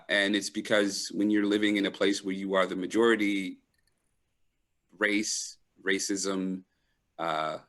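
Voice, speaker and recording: A middle-aged man with a very low voice talks in a reflective, conversational way. He is thoughtful and halting, often stopping to search for the right word, as a guest in an interview. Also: fairly bright tone. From Trinidad and Toronto, Canada. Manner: thoughtful, halting, pausing to find words. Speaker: panel guest. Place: live online video call.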